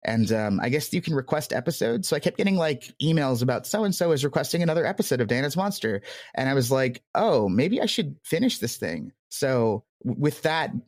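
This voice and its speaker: A man's voice: mid-range voice, very animated, fairly quick, darkish tone, no echo. A man speaking as a podcast guest in a reflective interview, telling anecdotes with hedges and quoted asides. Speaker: podcast guest, audio drama creator.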